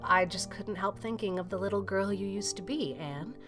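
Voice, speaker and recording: A woman's voice: mid-range voice, normal speed, music in the background, lightly acted. A woman playing a practical, reserved older farm woman who speaks plainly in an audiobook drama. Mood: wistful.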